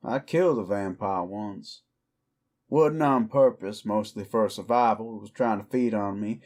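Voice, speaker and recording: An actor playing a weary, defiant cowboy outlaw werewolf in an audio roleplay, with a folksy, brooding American Southern or Western drawl. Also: male voice, lowish voice, measured pace, a little mellow, lightly acted. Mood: matter-of-fact, reflective. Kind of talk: slow dramatic roleplay monologue to the listener.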